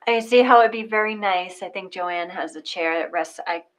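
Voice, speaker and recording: A woman's voice: medium pitch, normal speed. A woman who leads guided meditation and chats casually about it, in a giggly, conversational way. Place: live online session from a room. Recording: faint background noise.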